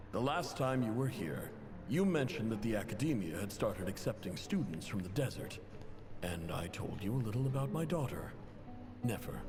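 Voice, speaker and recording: Man acting a proud desert chief, echoing, expressive. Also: mid-range voice, background noise, natural voice. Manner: formal, measured, courteous. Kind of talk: dramatic video game letter narration. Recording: long reverb, music behind.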